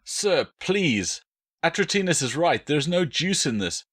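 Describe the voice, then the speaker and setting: A man's voice: on the high side, sing-song, measured pace, a bit bright, no echo, studio quality, pitched above the performer's own voice, heavily acted. A man voicing a nervous, deferential Roman cavalryman, an uneasy subordinate pleading and reasoning with his superior.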